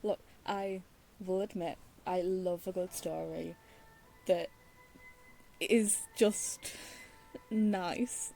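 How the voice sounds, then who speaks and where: A woman's voice: on the low side, steady tone, drawn-out words, vocal fry, long pauses, dark tone, faint music behind. A woman with a British accent giving casual, opinionated, wry commentary as she plays a game. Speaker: game streamer.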